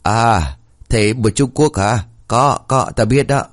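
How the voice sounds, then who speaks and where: A man's voice: mid-range voice, measured pace, pitched above the performer's own voice, natural voice. A man voicing a deaf, cranky old village man near ninety who mishears and scolds crudely. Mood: confused.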